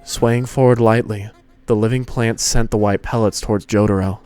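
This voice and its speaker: A man narrates a piece of fan fiction. His voice sits fairly low as he reads the scripted prose. Music plays quietly behind him. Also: steady tone, normal speed, clean tone.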